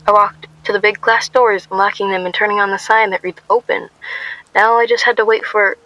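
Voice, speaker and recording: A woman's voice: mid-range voice, level tone, brisk, sharp tone, lo-fi sound, natural voice. A woman playing an anxious young shop worker, narrating a radio play in a wry, confiding first person. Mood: weary, reflective.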